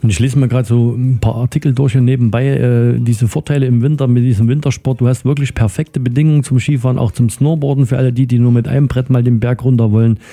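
A man's voice: medium pitch, steady tone, fast, few pauses, mellow. A man hosting a casual radio interview, chatting in a rambling way. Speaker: radio show host.

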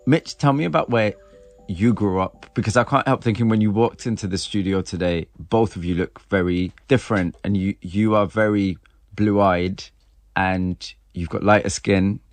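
A man's voice: fairly low pitch, normal speed, dry recording, faint music behind. A man hosting, interviewing and narrating in a studio.

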